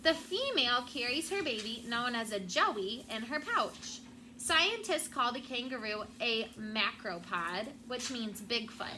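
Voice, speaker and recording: A woman's voice: fairly high pitch, expressive, unhurried, pushed and bright. A woman teacher reading a children's book aloud and prompting pupils with questions. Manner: prompting, checks understanding with questions. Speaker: elementary school teacher. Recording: clearly noisy room sound.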